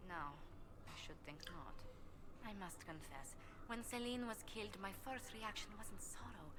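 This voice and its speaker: A woman's voice: medium pitch, measured pace, lightly acted. Haughty aristocratic intriguer for fantasy game woman acting, theatrical precise diction, mid register, noisy ambience behind. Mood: cool disappointment. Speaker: court advisor in a fantasy video game. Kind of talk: scripted video game dialogue. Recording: game audio with clear background ambience.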